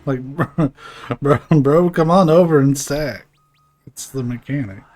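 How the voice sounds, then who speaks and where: A man's voice: medium pitch, measured pace, darkish tone. A man streaming a video game talks in a mid-range voice. His commentary is casual, informal and reactive, with game music behind him. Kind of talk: casual live gameplay commentary.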